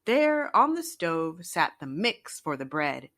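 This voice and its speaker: A woman storyteller reading a children's picture book aloud in an expressive narrating style. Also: low voice, slow.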